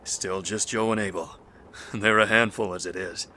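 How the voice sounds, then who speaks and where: A man playing a calm, earnest doctor and steadfast old friend in an audio drama, with a measured, consoling manner. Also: fairly low pitch, a little hiss or hum, low-quality recording. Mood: reserved.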